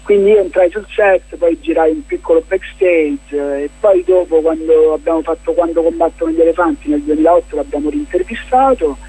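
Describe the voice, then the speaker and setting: A man with a husky voice, speaking as a guest in a casual radio interview, explaining his projects in a hesitant, rambling way. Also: fairly high pitch, fairly quick, few pauses, warm tone, low-quality recording. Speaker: playwright and documentary filmmaker, interview guest.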